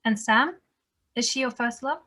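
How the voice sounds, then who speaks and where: A woman's voice: fairly high pitch, moderate pace, sharp tone. A woman playing a tough, no-nonsense nurse in a table read. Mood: matter-of-fact.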